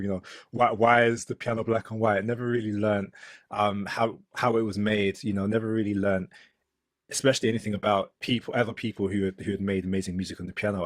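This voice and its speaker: A man's voice: lowish voice, no echo, studio quality. A man in his thirties with a London accent, a musician and author talking thoughtfully as an interview guest, with frequent ums and restarts. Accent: London English. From London, England. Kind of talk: relaxed conversational interview.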